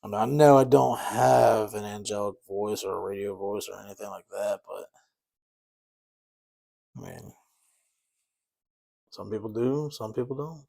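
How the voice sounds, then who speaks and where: A man's voice: on the low side, measured pace, vocal fry, long pauses, mellow. A man chatting casually and rambling a bit as he paints miniatures, easygoing and self-deprecating. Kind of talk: casual chat while painting.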